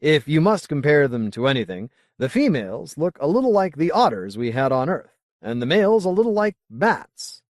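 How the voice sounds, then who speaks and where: A man voicing a solemn, zealous colony leader in an audiobook, speaking in a formal, deliberate, oratorical way. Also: a little high, very animated, no room sound, studio quality, pitched above the performer's own voice. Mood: explanatory, firm. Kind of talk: audiobook character dialogue.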